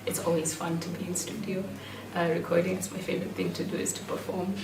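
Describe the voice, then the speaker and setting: A woman with a British-schooled Kenyan accent, reflecting aloud to a group in a thoughtful, halting way. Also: on the low side, level tone. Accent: Kenyan with British-school influence. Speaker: performer. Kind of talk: reflective personal talk in a group. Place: indoor room at an in-person group meeting. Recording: echoey room, distant mic, faint noise.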